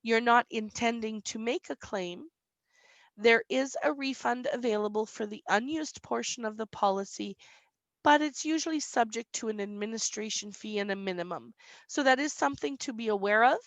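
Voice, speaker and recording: A woman insurance broker answering questions in a webinar, explaining plainly with frequent "um" and a slightly squeaky voice. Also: moderate pace, no echo.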